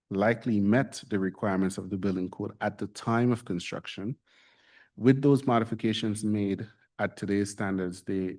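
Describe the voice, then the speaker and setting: A man, reading a formal report to a council meeting in a measured, official way. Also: lowish voice, a little mellow. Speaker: municipal staff presenting a report.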